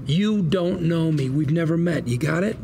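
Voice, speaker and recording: A slick male con-artist lawyer cajoles with colourful, slangy patter. His voice sits fairly high for a man and has a lot of fry in it. Noticeable noise runs behind the drama dialogue. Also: normal speed, mellow. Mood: scheming, emphatic. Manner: glib, persuasive patter with colourful slangy quips. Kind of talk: screen drama script read at a table read.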